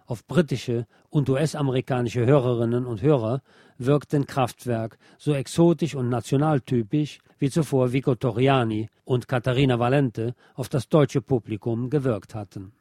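A man reads a nonfiction book as a narrator, in a measured, formal way. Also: medium pitch, steady tone, dark tone, dry recording, clean studio sound. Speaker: narrator and audiobook reader of a radio programme.

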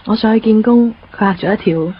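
A woman's voice: mid-range voice, brisk, a little hiss or hum, lightly acted. A woman acting a composed, understanding young girlfriend in a radio drama, with a Hong Kong Cantonese accent. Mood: calm, confiding. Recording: muffled radio drama recording with music.

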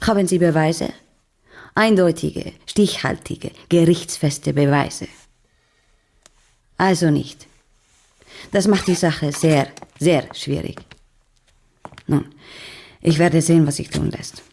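Unimpressed senior bureaucrat, low mellow voice, slow, measured, condescending, radio drama. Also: female voice, gravelly, a little hiss or hum, full character voice. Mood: dismissive. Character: cool, sceptical senior female government bureaucrat. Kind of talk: radio play character acting.

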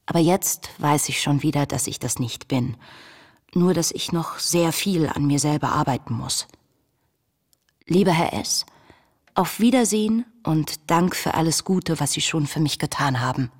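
Young female diarist in a radio play: deep-toned, articulate, troubled and searching, reading intimate confessions. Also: unhurried. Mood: quietly resolved. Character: anguished, self-searching young woman diarist, intelligent and passionate. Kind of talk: literary radio play monologue, reading diary and letters.

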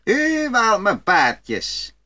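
A man's voice: sits high, wildly swooping pitch, extremely slow, sharp tone, no echo, exaggerated voice. A man playing a poor, cunning, cheerful little farmer in a fairy tale audio play. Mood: gleeful, boastful.

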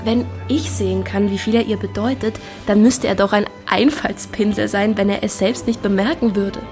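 An actress in a German radio drama playing a witty, spirited young gentlewoman, playful, teasing and self-possessed. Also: medium pitch, fairly even intonation, normal speed, close to an everyday voice. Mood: earnest, amused. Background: music.

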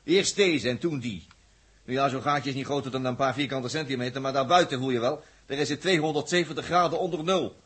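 A man's voice: mid-range voice, fairly quick, fairly bright tone, slightly rough. An actor playing a sardonic, grumbling spaceship crewman in a 1960s radio drama, flippant and sarcastic.

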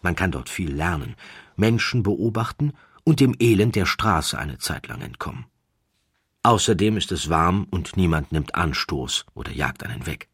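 A man with a very deep voice narrates a literary story. His tone is husky and rough-edged, recorded very dry and close. He tells it in a measured, deliberate way, as a scripted audiobook reading. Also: lively intonation, a bit bright, no room sound.

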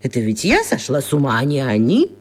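Flustered sweet old lady for kids radio, kinda husky, really drawn out and theatrical, pitch all over. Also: wildly swooping pitch, darkish tone, full character voice. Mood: wry, coaxing. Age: older. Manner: drawn-out, theatrical, hesitant musing. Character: flustered, kindly eccentric old lady, talking to herself. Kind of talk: children's radio play acting.

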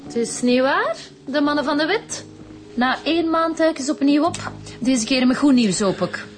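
An actress playing a sharp-tongued, indignant rich wife with a Flemish accent in a radio crime drama, snappy and sarcastic. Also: female voice, high voice, lively intonation, normal speed, music in the background.

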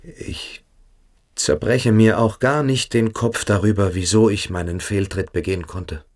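An actor playing a shamed, evasive teenage cadet in a radio play, halting and self-justifying. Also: male voice, on the low side, darkish tone. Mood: defensive. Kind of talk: German radio play acting.

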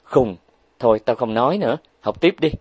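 A man's voice: medium pitch, animated, fairly quick, slightly rough, dry recording. A man voicing a reserved, earnest young woman who works as a tutor, speaking cautiously and sincerely.

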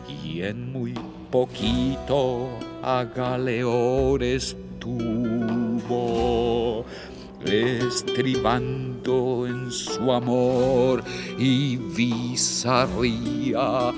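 An elegy in a radio play, a solemn poet drawing out each evenly pitched line beneath loud music. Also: male voice, steady tone. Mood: grave, elegiac. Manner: solemn, drawn-out. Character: grave Spanish poet reciting an epitaph sonnet. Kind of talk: slow poetic recitation.

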